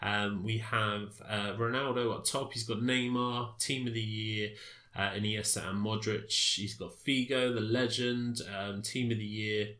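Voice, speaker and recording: A man's voice: lowish voice, fairly even intonation, unhurried, sharp tone. Guy with a cold, stuffy voice, lots of ums, roomy echo. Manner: rambling, many fillers and restarts. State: congested from a cold. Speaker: gaming commentator. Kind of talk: casual gameplay commentary.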